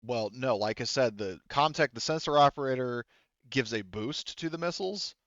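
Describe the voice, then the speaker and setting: A man acting as game master of a tabletop roleplaying game, explaining rules and often pausing to think aloud. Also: mid-range voice, expressive, fairly bright tone, no room sound, dull audio.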